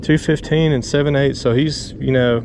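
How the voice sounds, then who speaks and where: A man giving relaxed running commentary while fishing from a small boat on a pond, recorded on a head-mounted action camera. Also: medium pitch, normal speed. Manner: relaxed, talks to himself while fighting fish. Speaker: fishing vlogger. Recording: outdoor action camera with clear background noise and reel clicks.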